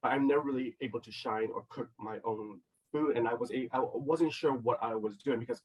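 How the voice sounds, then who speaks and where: A man's voice: medium pitch, quick pace, husky, far from the mic, dull audio. A man, a chef and restaurant co-owner, answering interview questions in a loose, casual way full of "like" and "you know". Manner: loose, filler-heavy talk with many "like" and "you know".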